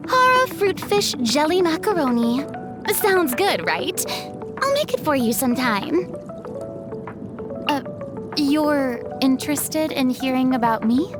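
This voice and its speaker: An actress playing a proud yet vulnerable young female celebrity in a video game, with dramatic, refined, expressive delivery. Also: high-pitched. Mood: bright, chatty, then proud, playful. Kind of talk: scripted game character voice lines.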